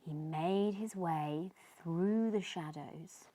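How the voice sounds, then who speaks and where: A woman reading a children's story aloud in an expressive, dramatic, drawn-out way. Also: lowish voice.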